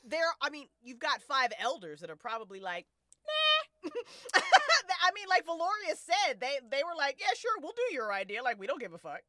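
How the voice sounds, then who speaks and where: A woman hosts a relaxed chat about a story she wrote. Her voice is bright and very animated in pitch, recorded dry and close. She talks in expressive slang and swears freely. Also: moderate pace, no echo. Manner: prone to laughing as they talk. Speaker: host and writer of a fiction podcast. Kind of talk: casual discussion of an audio drama.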